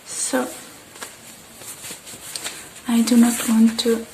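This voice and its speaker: A woman doing an ASMR repair roleplay, speaking in soft, breathy whispers and hushed, halting murmurs close to the mic, addressing the listener. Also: on the high side, completely flat delivery, slight background noise, poor audio. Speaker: ASMR artist.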